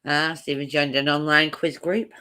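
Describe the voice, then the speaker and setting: A woman's voice: low-pitched, level tone, moderate pace, sharp tone, smooth. A woman co-hosting a casual live chat stream, teasing and laughing often.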